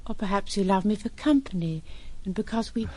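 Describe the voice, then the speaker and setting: A woman's voice: mid-range voice, animated, a little fast, warm tone, lightly acted. An actress playing a wounded young woman in love in an audio drama, hesitant and pleading.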